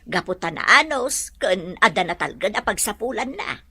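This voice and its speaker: Woman acting female servant, apologetic, respectful, husky edge, lively swooping pitch, audio drama. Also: normal speed, heavily acted. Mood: earnest, deferential. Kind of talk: Ilocano radio-style drama acting.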